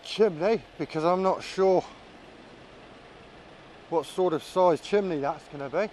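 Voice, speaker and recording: Guy vlogging outside, slightly high voice, casual drone commentary, musing to himself, bit of background noise. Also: unhurried, a little mellow. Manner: musing, thinking aloud. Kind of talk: casual running commentary while flying a drone. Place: outdoors.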